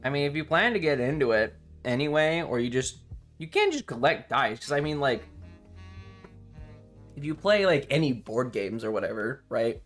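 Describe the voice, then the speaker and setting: Male live streamer, mid-range voice, loose rambling chat with a playfully dramatic streak, soft guitar music behind. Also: medium pitch, animated, moderate pace. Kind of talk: casual livestream chat with viewers.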